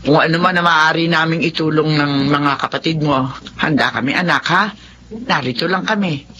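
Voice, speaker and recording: An actress playing a caring, wise older mother who gently advises in a Filipino radio drama. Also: sits low, normal speed. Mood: supportive, cautioning. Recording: dull audio with faint background noise.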